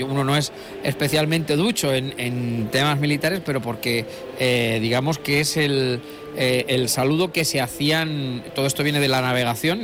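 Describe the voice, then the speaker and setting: A middle-aged man from La Mancha, giving discursive live commentary on a procession with many fillers and restarts. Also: medium pitch. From La Mancha, Spain. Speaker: broadcast commentator. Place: outdoors at a street procession. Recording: live street broadcast with crowd noise. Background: crowd.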